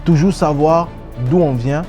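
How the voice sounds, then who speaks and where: Male interview host; halting, repetitive questions, encouraging asides; faint music underneath. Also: on the high side, lo-fi sound. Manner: stammering restarts, warm affirmations, laughs along. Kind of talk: casual interview conversation.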